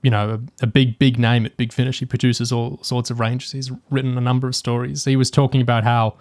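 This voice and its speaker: A man's voice: mid-range voice, level tone, brisk, darkish tone, no room sound, clean studio sound. A man co-hosting a podcast, talking casually and analytically through a review, hesitantly thinking aloud as he goes. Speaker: podcast co-host.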